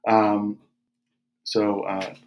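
A man's voice: fairly low pitch, completely flat delivery, very slow. A man co-hosting a podcast in casual conversation, teasing and wry. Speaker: podcast co-host, yoga studio owner.

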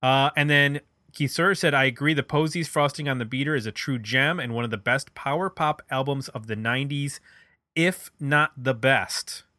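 A man's voice: medium pitch, normal speed, sharp tone, dry recording, studio quality. A middle-aged man chatting casually as the host of a music podcast.

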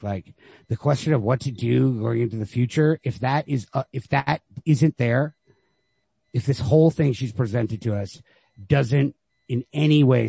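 A man's voice: medium pitch, creaky, mellow, breathy edge, no echo, low-quality recording. A man co-hosting a podcast, casually discussing literature and thinking aloud in circling, hedged phrases. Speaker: podcast co-host.

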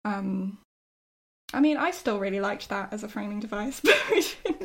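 Chatty woman in relaxed creative conversation. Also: medium pitch, normal speed. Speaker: writer discussing their own show. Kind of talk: casual reflective conversation.

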